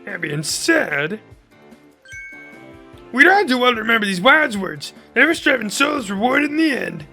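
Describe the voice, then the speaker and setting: Very high, creaky bumbling professor. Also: male voice, big pitch swings, moderate pace, long pauses, sharp tone, soft background music, exaggerated voice. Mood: determined. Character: clumsy, self-deprecating but earnest monster-research professor. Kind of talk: fan-made game character voice acting.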